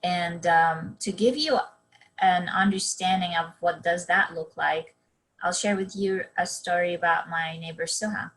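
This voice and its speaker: A young woman from Palestine gives a keynote on a webinar and tells her own story. Her voice sits a little low. She speaks earnestly and keeps pausing on um and restarting her sentences. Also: steady tone, fairly bright tone, low-quality recording. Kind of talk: personal storytelling talk on a webinar.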